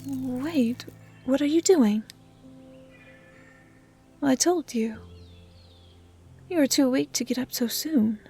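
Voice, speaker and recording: Hushed, gentle young dryad woman voiced by an actress. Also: high voice, exaggerated voice. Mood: gently firm. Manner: hushed, breathy, caring delivery, often near whispering. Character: gentle, curious young female dryad, lonely forest healer. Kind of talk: soft, intimate ASMR audio roleplay.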